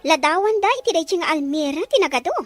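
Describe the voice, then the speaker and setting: A woman's voice: high-pitched, expressive, fast talker, no echo, faint music behind. A woman playing a jealous, spoiled rich young fiancée in a melodramatic audio drama, whiny and indignant. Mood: whining, jealous. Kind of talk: melodramatic radio-style drama acting.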